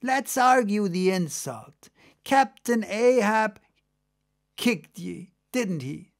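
A man's voice: high voice, animated, slow pace, vocal fry, voice pushed up, exaggerated voice. A man voicing an old hunchbacked merman who mutters, argues and lectures in a storybook reading. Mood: insistent.

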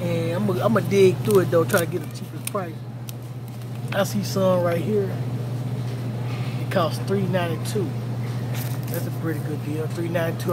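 High-voiced middle-aged man in a noisy store. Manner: chatty, repeats himself, reads out prices. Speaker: cooking show host. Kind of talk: casual vlog while grocery shopping. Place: large indoor supermarket. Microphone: phone held at arm's length. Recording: loud store background noise.

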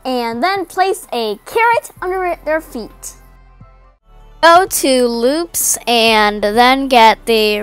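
Slow, high-voiced woman giving instructions. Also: sharp tone. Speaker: coding tutorial narrator. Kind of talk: step-by-step instructional voice-over. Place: voice-over over screen recording. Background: faint background music.

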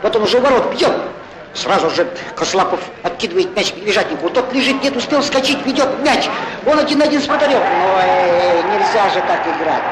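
A high-voiced, excitable male football commentator from a 1940s cartoon. His theatrical play-by-play swings widely in pitch, chatty and good-humoured, over a clearly noisy vintage film soundtrack. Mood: wildly excited. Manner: chatty, theatrical, exclaiming, correcting himself. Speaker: sports commentator.

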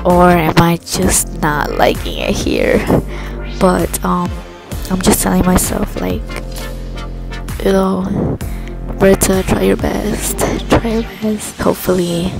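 A woman's voice: fairly low pitch, fairly even intonation, slow, vocal fry, music in the background. A woman in her twenties, from Ecuador, talking hesitantly and confidingly to a phone camera held at arm's length in a small room. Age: 20s. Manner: hesitant, confiding, lots of fillers. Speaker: vlogger.

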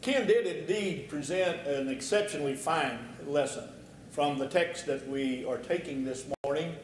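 Older man, pulpit preacher, high-pitched, rises to emphatic peaks, faint hiss behind him. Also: normal speed. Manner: swells from hushed to loud emphatic stretches. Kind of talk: sermon. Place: church meeting room. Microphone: podium microphone. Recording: echoey church room.

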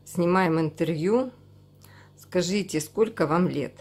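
A woman's voice: fairly low pitch, slow, a little mellow, slightly rough. A woman asking questions in a conversational interview, prompting and echoing back the answers. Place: indoor room.

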